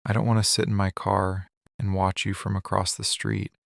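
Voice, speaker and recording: A man playing a weary, lovesick, possessive mafia boss in a scripted boyfriend ASMR roleplay, speaking intimately and deliberately in short phrases. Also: low-pitched, monotone, gravelly, a bit bright, dry recording, clean studio sound, exaggerated voice. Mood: weary confession.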